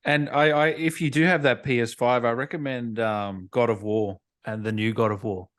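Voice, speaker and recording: Casual male interviewer, medium-pitched voice. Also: fairly even intonation, moderate pace. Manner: informal, frequent short interjections. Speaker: interviewer, host.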